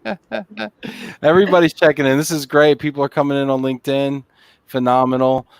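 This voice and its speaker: A man's voice: mid-range voice, steady tone, a little fast, vocal fry, clear, resonant tone, no room sound, studio quality. A man hosting a live online show, chatty and greeting viewers by name. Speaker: host.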